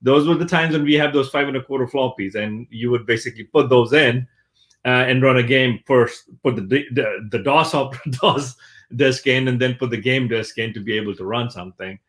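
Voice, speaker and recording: A man from Pakistan, a guest on an interview show, telling his story in a relaxed, chatty way with many fillers. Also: mid-range voice, normal speed. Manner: relaxed and chatty, with many fillers like "uh" and "you know", laughs lightly at himself. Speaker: guest, technology executive.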